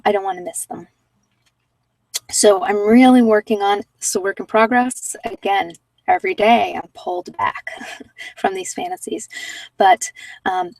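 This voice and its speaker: A soft-spoken woman chatting casually as host of a guided ASMR meditation, rambling with pauses and sometimes dropping near a whisper. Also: medium pitch, gravelly, no room sound, far from the mic, poor audio.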